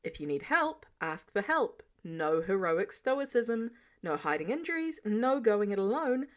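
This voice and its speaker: A woman's voice: medium pitch, very animated, measured pace. Woman voicing a stern, exasperated male mentor. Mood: firm, protective. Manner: sarcastic, bossy, clipped commands. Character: stern, exasperated, protective adult man, mentor laying down rules.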